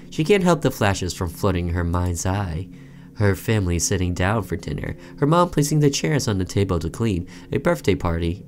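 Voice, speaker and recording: A man doing let's play commentary, reading a visual novel aloud theatrically and breaking off for offhand asides. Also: deep voice.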